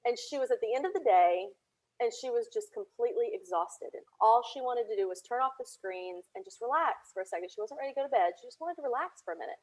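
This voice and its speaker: Higher-voiced woman telling stories in interview. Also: lively intonation, fast, darkish tone, low-quality recording. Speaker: podcast guest, audio drama producer and writer. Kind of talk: casual interview storytelling.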